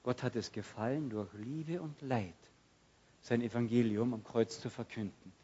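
A man preaching a sermon, swinging from loud outbursts to hushed, quiet passages and acting out prayers. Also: mid-range voice, normal speed, husky, muffled recording.

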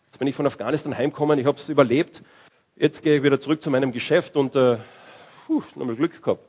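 A man's voice: medium pitch, darkish tone, breathy edge, highs cut off. A man preaching a sermon in a conversational way, often restarting and searching for words.

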